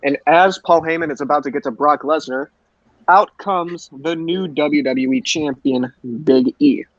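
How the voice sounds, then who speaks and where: A man's voice: fairly high pitch, measured pace, no room sound. A man co-hosting a podcast, giving an informal recap on a lo-fi recording. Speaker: podcast co-host. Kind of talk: informal recap of wrestling show.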